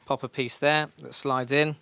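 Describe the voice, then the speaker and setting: A man's voice: mid-range voice, little pitch movement, normal speed, creaky, bright, forceful tone. A man giving an informal, practical step-by-step demonstration in a reassuring, instructional way. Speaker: motorcycle shop staff giving a fitting tutorial.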